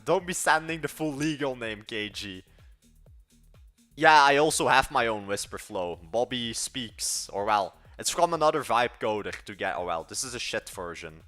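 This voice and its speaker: A man's voice: medium pitch, bright, forceful tone. An eighteen-year-old man chatting casually on a live stream, rambling and trailing off mid-thought. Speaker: livestreaming coder.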